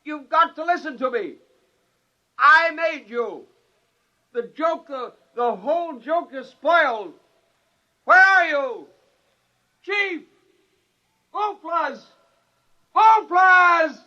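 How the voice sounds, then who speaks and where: Exasperated scheming father, animated, bright forceful voice, blustering, 1950s radio acting, dull vintage recording. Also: very high-pitched, slow pace, long pauses, exaggerated voice. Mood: frantic calling. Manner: agitated, blustering in dialogue. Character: excitable, exasperated father and inventor, scheming practical joker. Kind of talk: 1950s radio drama acting with first-person narration.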